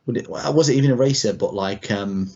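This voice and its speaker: Low male voice, casual podcast co-host, rambling and informal, dull lo-fi sound. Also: fairly quick, a little mellow, breathy edge. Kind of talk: casual chat about retro cartoon video games. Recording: dull, muffled lo-fi audio.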